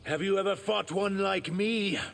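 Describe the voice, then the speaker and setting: An actor playing a proud, guarded reptilian shapeshifter warrior in human form for a fighting game. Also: male voice, high-pitched, a little slow, vocal fry, heavy background noise. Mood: defiant. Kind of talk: video game fight-intro dialogue.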